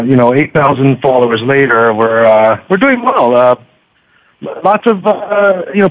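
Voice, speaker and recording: Male podcast guest, mid-pitched, conversational and a bit halting, on dull radio-style sound. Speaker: podcast guest, book reviewer. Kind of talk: casual interview chat.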